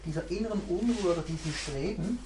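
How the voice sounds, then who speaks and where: A woman's voice: fairly low pitch, fast, dark tone. A woman, a mindfulness teacher giving a reflective, searching talk to a group and thinking aloud as she goes. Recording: roomy recording, faint background hiss.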